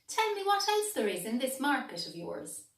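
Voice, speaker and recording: A clever female cat from a picture book, as a woman reader puts her on, confident and a little bossy. She fires off high, lively questions in a roomy space. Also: normal speed, far from the mic, full character voice. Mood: brisk, confident.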